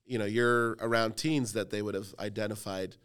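A man's voice: fairly low pitch, steady tone, normal speed, a bit bright, clear, resonant tone. A man in his thirties from Cleveland, a youth pastor talking casually on a podcast with lots of fillers and self-deprecating humor. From Cleveland, Ohio.

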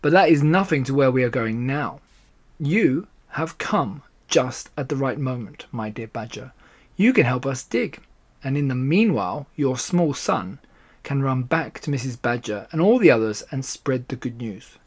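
A confident, crafty father fox out of a children's storybook, played by a man. He talks smoothly and deliberately, like a plotter, with a mid-pitched voice that rises and falls expressively. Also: lively intonation, natural voice. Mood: conspiratorial.

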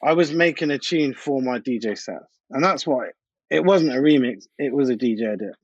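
A man's voice: mid-range voice, expressive, moderate pace, slightly rough, no echo, muffled recording. A man, a DJ and producer, chatting casually in an interview and repeating himself for emphasis. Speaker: DJ and music producer being interviewed. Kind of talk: casual interview chat, anecdotal.

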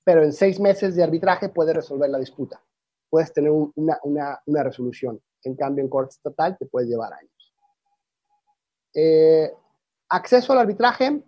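A man's voice: on the high side, no room sound, muffled recording. Man w/ portuguese accent explaining stuff on a video call, restarts a lot, dry dead sound. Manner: explanatory, with frequent restarts and fillers. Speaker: arbitration lecturer. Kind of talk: webinar presentation.

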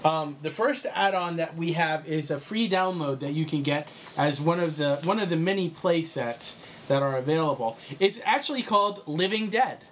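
A man's voice: on the high side, lively intonation, moderate pace, slight background noise. A man running a tabletop game session, explaining the rules in a chatty way with lots of fillers and restarts. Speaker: game master.

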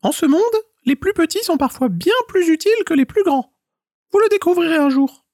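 A man voicing a small, polite male squirrel in a very high, quick voice. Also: animated, a little mellow, no room sound, studio quality. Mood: wise. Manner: squeaky, rapid, polite.

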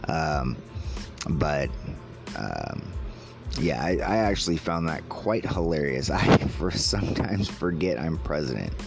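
Really low voiced guy doing a podcast, slow n halting, bit raspy, amused, music behind him. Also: lively intonation. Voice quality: slightly raspy. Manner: halting, pausing mid-sentence; amused, chuckling as he talks. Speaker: podcast host. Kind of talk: casual solo podcast talk about news stories.

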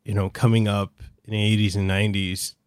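A man hosts a podcast interview in a fairly low voice, recorded dry with little room sound. He talks earnestly and haltingly and fills his pauses with "you know" as he asks his questions and speaks from the heart. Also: level tone, clear, resonant tone, dry recording. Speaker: podcast host and games journalist. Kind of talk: casual interview hosting.

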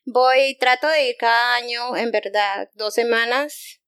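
Young adult Colombian woman, lively interview guest, clear bright voice, dry close sound. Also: a little high, a little slow, dry recording. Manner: lively, laughs often, trailing restarts. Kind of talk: casual interview conversation.

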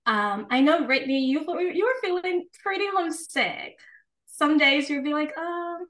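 Young woman co-host, candid and chatty, bit high-pitched, laughs easily. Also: animated, normal speed, a bit bright. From Ghana and the United States. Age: 20s. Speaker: podcast co-host. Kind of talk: casual chat.

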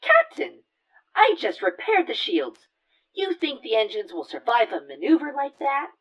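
A woman's voice: on the high side, huge pitch swings, moderate pace, recorded from a distance, poor audio. A woman playing a spirited, cautious starship engineer in a space audio drama, giving frank, urgent reports. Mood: concerned.

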